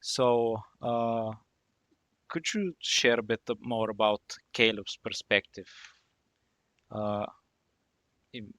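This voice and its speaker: A man co-hosting a podcast, asking questions in a measured, halting way with many uhs. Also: lowish voice, fairly even intonation, fairly bright tone, dry recording, studio quality. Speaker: podcast co-host. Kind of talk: casual podcast interview questions.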